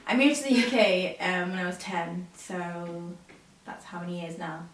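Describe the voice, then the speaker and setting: A woman in her late twenties, from Nigeria and speaking, telling stories as a guest in relaxed chat. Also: lowish voice, moderate pace, far from the mic. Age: late 20s. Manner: relaxed storytelling, laughs often. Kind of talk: casual chat. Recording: roomy, from off mic.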